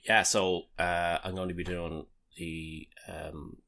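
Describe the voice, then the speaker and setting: Deep-voiced man, laughing and rambling. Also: little pitch movement, slow, vocal fry, bright, forceful tone. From Ireland. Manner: laughs often, swears casually, rambling storyteller. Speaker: podcast co-host. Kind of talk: casual chat. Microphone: home podcast mic, video call.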